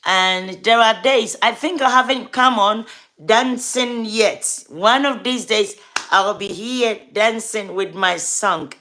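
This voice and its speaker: A woman's voice: a little high, slow pace, sharp tone. A woman with a Ghanaian accent, a gospel artist hosting a casual livestream, chatting with viewers by name and urging them to subscribe.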